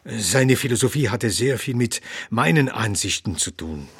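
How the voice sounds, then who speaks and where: An actor playing an elderly doctor and philosopher in a radio play, lecturing earnestly and growing indignant when challenged. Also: male voice, medium pitch, animated, normal speed, a bit bright, slightly rough, no echo, full character voice. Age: older. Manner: earnest, lecturing, quotes his own writings. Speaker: interviewee.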